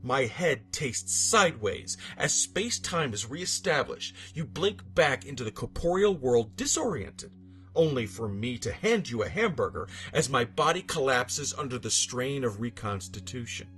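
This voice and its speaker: A man's voice: medium pitch, very animated, moderate pace, a bit bright. Theatrical man narrating over music. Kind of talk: dramatic scripted creepypasta narration. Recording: music bed behind the voice. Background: background music.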